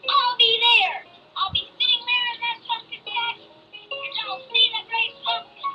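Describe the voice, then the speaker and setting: An earnest little cartoon boy with a very high voice. He declaims with stubborn faith, as on a storybook tape, with music strong behind him. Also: fairly even intonation, normal speed, highs cut off. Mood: indignant, hopeful. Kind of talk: children's cartoon read-along dialogue.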